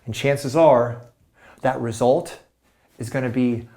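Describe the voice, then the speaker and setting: A man's voice: medium pitch, takes it slowly, warm tone. Male life coach, upbeat and chatty, mid-range voice, pep-talk energy. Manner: enthusiastic and conversational, fond of "right?" and "you know". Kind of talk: motivational coaching monologue.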